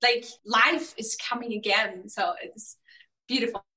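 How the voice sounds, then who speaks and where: Bright-voiced Austrian woman, late twenties, chatty and giggly, sitting back from her mic. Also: fairly high pitch, reverberant, far from the mic, rough recording. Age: 20s. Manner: laughs often, halting with many fillers. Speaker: interviewee. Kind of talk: casual video-call chat.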